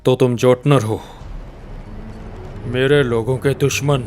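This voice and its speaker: A man's voice: medium pitch, steady tone, slow pace, a little mellow, lightly acted. Guy doing a smug taunting god sentry, mid voice, sneering, faint hiss behind. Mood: threatening. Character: arrogant menacing male god sentinel. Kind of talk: dubbed game cutscene.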